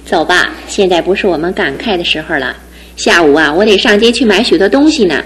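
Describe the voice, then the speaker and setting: A woman's voice: lowish voice, animated, slightly rough, low-quality recording. An actress voicing an independent, teasing young female student about to graduate, in a studio radio drama. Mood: brisk. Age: young adult. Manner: teasing and brisk, then tender. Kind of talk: 1960s-70s studio radio drama acting.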